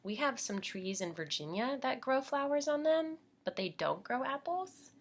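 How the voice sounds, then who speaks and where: A woman's voice: sits high, very animated, moderate pace, slight background noise. An American woman teaching young children as she reads a picture book aloud, explaining simply and putting questions to her listeners.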